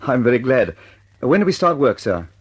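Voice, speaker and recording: A man's voice: medium pitch, sing-song, moderate pace, breathy edge. A man playing an earnest, anxious English inventor and engineer in a vintage radio drama, hesitant and politely precise. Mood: polite, eager.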